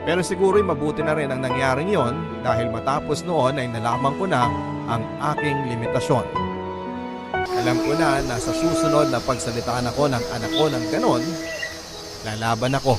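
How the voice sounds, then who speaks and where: A man's voice: mid-range voice, normal speed, loud music behind the voice, close to an everyday voice. A man narrating a first-person radio story as a regretful, ailing father. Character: regretful ailing father recounting family betrayal.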